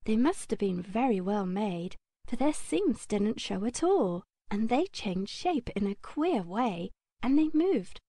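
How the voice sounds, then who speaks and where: A woman narrating a children's audiobook in a gentle, measured storytelling manner. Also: medium pitch, sing-song, no room sound, clean studio sound.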